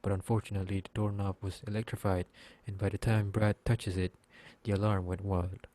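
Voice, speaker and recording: Low, mellow male narrator, reading haltingly. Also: little pitch movement. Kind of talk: scripted narration, read aloud.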